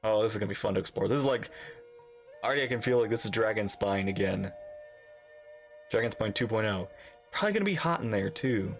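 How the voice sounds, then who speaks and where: A man's voice: on the low side, expressive, quick pace, long pauses. A man doing casual gameplay commentary as a streamer, exclamatory and musing aloud, with game music behind him. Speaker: streamer reacting to a video game.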